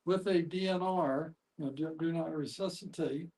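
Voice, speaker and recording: Male discussion participant, slightly high voice, casual storytelling with halting delivery. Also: darkish tone, rough recording. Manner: halting, pausing to find words. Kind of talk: casual anecdotal talk.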